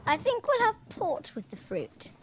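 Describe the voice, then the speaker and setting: Woman acting genteel elderly hostess, very high, swooping pitch, formal, imperious, radio play. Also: huge pitch swings, unhurried, slight background noise, exaggerated voice. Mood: gracious, formal. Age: young adult. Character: Miss Sophie, genteel elderly lady hosting dinner.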